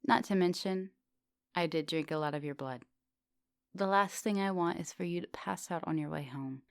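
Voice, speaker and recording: A woman playing an immortal vampire professor in an ASMR roleplay, teasing, flirtatious and gently insistent. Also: lowish voice, moderate pace, creaky, dry recording, clean studio sound. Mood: caring, insistent. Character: teasing, thousand-year-old female vampire professor, soft dominant, charming. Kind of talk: ASMR roleplay, intimate one-sided conversation.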